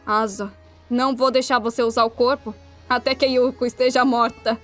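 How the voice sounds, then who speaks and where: Woman acting a high, blunt Brazilian schoolgirl. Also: steady tone, brisk, a bit bright, close to an everyday voice. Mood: cold, commanding. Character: troubled schoolgirl and cold devil sharing her body. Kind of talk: anime-style fan dub of a motion manga. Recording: music behind the voice.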